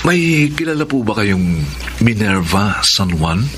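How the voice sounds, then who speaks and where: A man's voice: on the low side, big pitch swings, takes it slowly, fairly bright tone, slight background noise, exaggerated voice. A man with a Filipino accent acting a polite, smitten young suitor in a radio drama. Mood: polite, hesitant.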